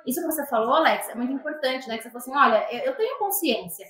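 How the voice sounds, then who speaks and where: Woman guest, high and bright, earnest and reflective, echoey room. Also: expressive, rapid-fire. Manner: earnest, reflective, many fillers and restarts. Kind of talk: conversational discussion.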